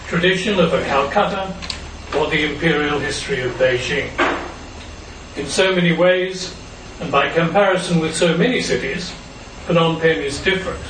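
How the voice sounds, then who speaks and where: An older man with an Australian accent giving a measured, prepared lecture as guest speaker at a forum. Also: a little high. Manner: measured, formal delivery. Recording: roomy hall, distant mic, noise.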